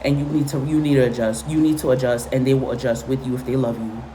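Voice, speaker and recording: A woman's voice: a bass voice, fairly even intonation, fast, warm tone, slight background noise, low-quality recording. A woman from Guatemala and Honduras, Spanish her first language, giving a casual spoken reading with blunt, profane, repetitive commentary. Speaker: tarot and spiritual reader.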